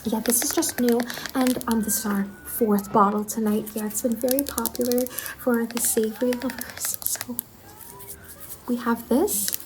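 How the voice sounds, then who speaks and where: A woman playing an eager, apologetic young saleswoman in an ASMR roleplay, speaking softly, politely and a little hesitantly. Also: a little high, steady tone, unhurried, a little hiss or hum, natural voice, poor audio. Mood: gently recommending. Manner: soft-spoken, hesitant, polite customer-service talk. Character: Angeline, eager apologetic young saleswoman at macabre pumpkin stall.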